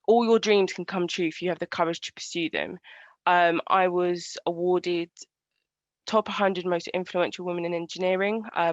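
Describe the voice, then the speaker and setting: A woman engineer giving an online presentation in a halting, earnest way, over a video call. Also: lowish voice, no echo. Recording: dull lo-fi video call audio.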